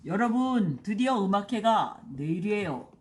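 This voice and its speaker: A woman's voice: medium pitch, very animated, normal speed, natural voice. A woman voicing an encouraging goat teacher in a storybook, projecting instructions to forest animal children. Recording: roomy echo, faint background noise.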